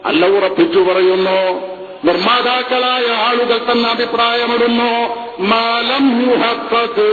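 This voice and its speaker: Echoey high-pitched Malayali male preacher. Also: little pitch movement, slow, heavy background noise. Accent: Kerala Malayali. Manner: emphatic, repetitive rhetorical questioning. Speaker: Islamic preacher. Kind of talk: religious discourse, chanting Arabic verse then explaining it. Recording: echoey, phone-like, distant, with music behind.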